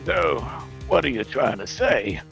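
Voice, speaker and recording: Man voicing smug, creaky, theatrical prosecutor. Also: mid-range voice, very animated, unhurried, music in the background, full character voice. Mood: challenging, indignant. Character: smug, theatrical male prosecutor, sardonic and dismissive. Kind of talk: live fan dub of a courtroom video game.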